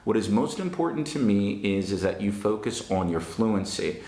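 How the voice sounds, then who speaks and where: A man with a Northern New Jersey accent, giving an online English lesson in a slow, emphatic and encouraging way. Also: lowish voice, animated, warm tone, roomy sound. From United States. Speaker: English teacher.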